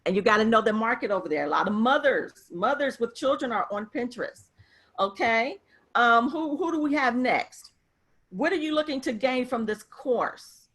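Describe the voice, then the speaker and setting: A woman's voice: fairly high pitch, animated, moderate pace, a bit bright. A woman teaching an interactive class over a video call as a professor, emphatic and repeating phrases for emphasis. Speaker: marketing professor.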